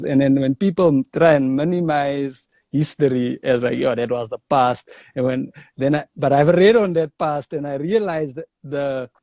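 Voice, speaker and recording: A young South African comedian in casual interview chat, telling stories in a lively way and saying "bruh". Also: male voice, fairly high pitch, no echo. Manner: animated storyteller, says "bruh". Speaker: comedian guest.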